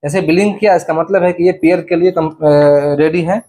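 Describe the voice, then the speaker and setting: Young man, slightly high pitch, casual vlogger, step-by-step explaining, indoor demo. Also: fairly even intonation, moderate pace, warm tone. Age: young adult. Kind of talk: casual product installation demo to camera. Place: indoors in a small bedroom.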